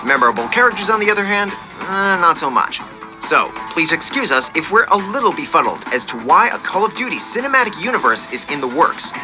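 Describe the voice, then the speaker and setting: A man's voice: sits high, big pitch swings, a little fast. A man reading scripted countdown narration with a wry, polished presenter delivery. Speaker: video narrator. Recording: loud music under the voice. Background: music.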